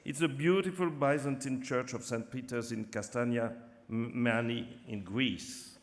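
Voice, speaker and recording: A man with a non-native English accent co-hosting an awards ceremony, speaking in a measured, halting way with many fillers. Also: mid-range voice, fairly even intonation. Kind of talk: formal ceremony announcing. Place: large theatre stage. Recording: long hall reverb from a theatre stage.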